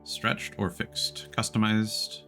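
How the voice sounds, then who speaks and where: A man's voice: fairly low pitch, monotone, slow pace, sharp tone, faint music behind. A man giving casual live gameplay commentary as a streamer, rambling and restarting his sentences mid-thought.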